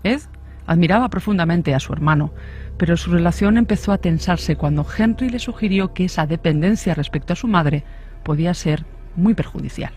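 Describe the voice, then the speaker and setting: A man's voice: high voice, a little fast, darkish tone. A man reading a scripted true-crime narration in the style of a suspense radio story.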